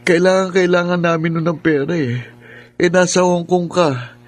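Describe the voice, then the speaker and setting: A man's voice: high-pitched, fairly even intonation, normal speed, lightly acted. An actor playing a frightened young criminal son who stammers and pleads with his mother in a Filipino radio drama. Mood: pleading, remorseful.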